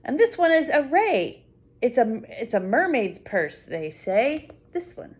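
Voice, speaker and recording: An older woman reading a picture book aloud to small children, full of wonder, pausing to explain things simply and add asides. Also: fairly high pitch, sing-song, darkish tone. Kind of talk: read-aloud story time with spontaneous asides to a child.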